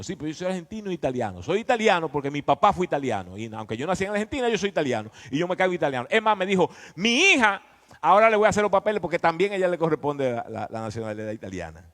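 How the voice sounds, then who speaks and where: A man preaches in a fairly high, very animated voice. He teaches emphatically and conversationally, throwing questions out to his listeners. Long reverb from the hall trails his words. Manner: emphatic, didactic, often prompting listeners with questions.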